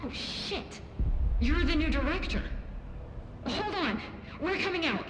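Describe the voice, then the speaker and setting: An actress playing an eager, brainy research assistant in a video game, chatty and effusive, rambling and halting in turns. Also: female voice, lively intonation. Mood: brisk surprise. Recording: clear background rumbling noise.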